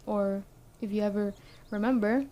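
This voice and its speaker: Dark-toned woman acting a stern survivor camp leader. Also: medium pitch, steady tone, close to an everyday voice. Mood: matter-of-fact. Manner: brisk, matter-of-fact, addressing the listener directly. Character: stern but caring young woman leading an apocalypse survivor camp. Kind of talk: ASMR roleplay acting.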